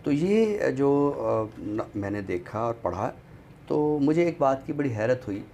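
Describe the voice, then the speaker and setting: A man with a Pakistani accent discussing books in a deliberate, scholarly way, often pausing mid-sentence. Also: medium pitch, very animated, warm tone. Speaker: literary show presenter. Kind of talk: book discussion in Urdu literary talk. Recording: noticeable background noise.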